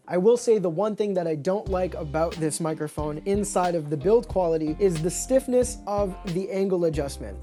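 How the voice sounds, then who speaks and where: A man with a naturally high but soft, mellow voice walks through a product review in a friendly, upbeat, explanatory way. It sounds like a scripted tech-review narration made at a home desk, with faint music underneath.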